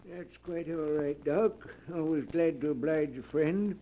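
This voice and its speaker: A genial, folksy judge with a mellow, fairly high voice. The style is 1940s radio drama, on a slightly noisy old recording. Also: male voice, unhurried. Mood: genial, businesslike. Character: genial, folksy, obliging judge performing a wedding.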